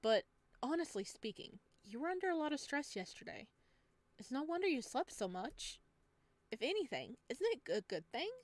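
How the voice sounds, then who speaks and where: Visual novel cabin host played by a woman: caring, enthusiastic, teasing male, light high-ish voice, close dry recording. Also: very animated, normal speed, no echo. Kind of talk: visual novel character reading.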